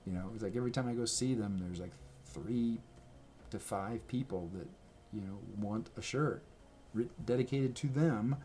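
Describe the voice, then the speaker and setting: A man's voice: lowish voice, normal speed, dark tone, a little hiss or hum. A man talking casually to his camera at home, rambling and correcting himself as he shows things. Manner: rambling, self-correcting, chatty. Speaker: video creator. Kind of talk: casual rambling vlog talk. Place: indoors at home. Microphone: camera mic.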